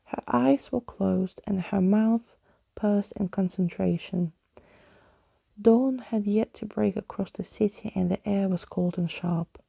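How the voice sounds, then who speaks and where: A woman reading a bedtime story aloud in a soft, hushed ASMR style. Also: medium pitch, measured pace, vocal fry, husky, dry recording.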